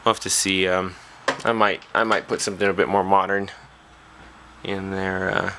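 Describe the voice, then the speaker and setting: A man's voice: deep voice, flat delivery, normal speed, background noise. A man talking casually and off the cuff as a hobbyist presenter, hesitantly thinking aloud at an indoor workbench on a camera's built-in mic. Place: small indoor workshop at a bench.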